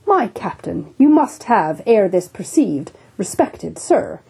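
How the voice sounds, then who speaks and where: A woman's voice: a little high, sing-song, normal speed, warm tone, breathy edge, heavily acted. A woman voicing a sober, formal English ship's surgeon in a storybook reading. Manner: formal, unruffled, precise.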